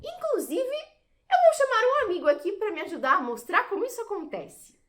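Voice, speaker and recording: A woman's voice: very high voice, sing-song, fairly quick, a little hiss or hum. A woman playing a theatrical, mischievous fairy guide in an audio drama, enthusiastic and dramatic. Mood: eager explaining.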